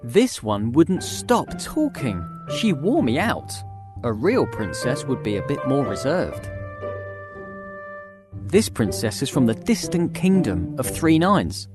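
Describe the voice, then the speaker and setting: A man's voice: medium pitch, big pitch swings, slow. A man playing a fussy young fairy-tale prince who complains in a picky manner. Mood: picky, complaining. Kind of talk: acted children's fairy-tale dialogue. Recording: music behind the voice.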